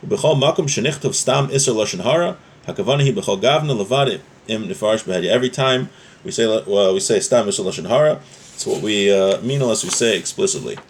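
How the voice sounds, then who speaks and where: A man's voice: lowish voice, lively intonation, quick pace, a little hiss or hum. Man teaching an informal religious class. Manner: explanatory, often checking with "right?". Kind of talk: informal religious class, reading Hebrew text and explaining it.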